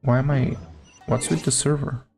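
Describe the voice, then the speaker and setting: Man, game streamer, creaky voice, unhurried, hesitant casual chat, faint music behind. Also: mid-range voice, level tone, darkish tone.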